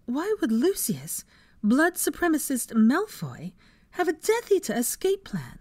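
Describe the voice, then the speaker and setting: A fiery young witch, as a female narrator plays her in a storybook reading. She speaks high and emphatically, exasperated and quick to take offence, in a close, dry sound. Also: huge pitch swings, a little mellow, no room sound, heavily acted. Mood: incredulous. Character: indignant, hot-tempered young witch.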